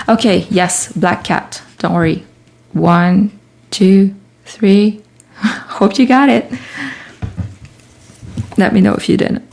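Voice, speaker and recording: Relaxed woman, slow gravelly voice. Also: mid-range voice. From Quebec, Canada. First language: French. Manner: chatty, thinks aloud, reads viewer comments. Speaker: artist livestreaming her process. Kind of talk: casual livestream chat while working.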